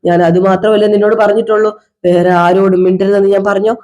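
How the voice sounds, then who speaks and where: Drunk, sulking husband, played by a woman: slow sarcastic drawl, mellow dark voice. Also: mid-range voice, little pitch movement, natural voice. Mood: scolding. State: drunk (as acted). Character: drunk, bitter middle-aged husband, self-pitying. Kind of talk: one-person domestic drama skit.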